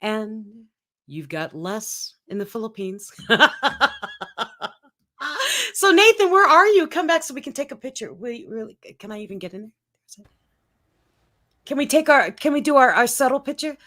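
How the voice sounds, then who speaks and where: A woman's voice: a little high, sing-song, normal speed, long pauses, sharp tone. A woman hosting a live-stream talk show, chatting in an upbeat way and laughing often. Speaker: host. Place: home in Colorado.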